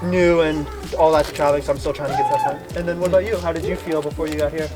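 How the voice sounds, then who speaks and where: Young American man, enthusiastic outdoor interviewer, a bit high-pitched, background music. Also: huge pitch swings, rapid-fire. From United States. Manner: eager, rambling, enthusiastic. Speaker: travel vlogger interviewing hikers. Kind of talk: casual interview. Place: outdoors on a mountain trail.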